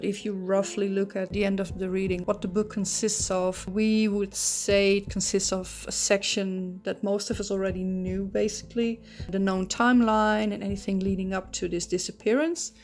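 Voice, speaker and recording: A woman's voice: mid-range voice, little pitch movement, normal speed, few pauses, a little mellow, faint music behind. A woman from the Netherlands with a strong Dutch accent, narrating a casual book review and thinking aloud as she goes. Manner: chatty, thinking aloud with frequent ums and restarts. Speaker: true crime channel host.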